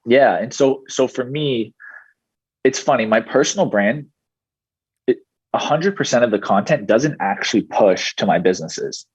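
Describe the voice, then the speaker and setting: Man, mid-twenties, husky and slightly low voice, dry close sound with a muffled, dull edge; measured podcast guest. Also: no echo. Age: 20s. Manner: steady, explanatory, measured. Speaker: guest, digital marketing entrepreneur. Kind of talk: podcast interview answers.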